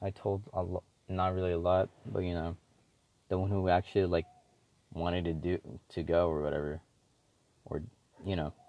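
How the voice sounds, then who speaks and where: A man's voice: low voice, flat delivery, normal speed, pauses often, no room sound, highs cut off. A teenage boy talking alone in a casual, rambling way, swearing often and full of fillers and restarts, as host of his own show. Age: teens. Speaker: solo podcaster.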